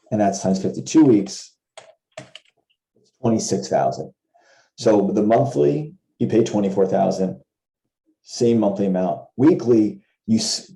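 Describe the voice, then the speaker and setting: A man's voice: lowish voice, vocal fry, dark tone, reverberant, rough recording. A man giving an informal explanation on a video call, talking through worked examples and rephrasing points as he goes. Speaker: trading educator and co-host of a Q&A. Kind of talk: informal explainer talk over a shared screen. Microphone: video call with screen share.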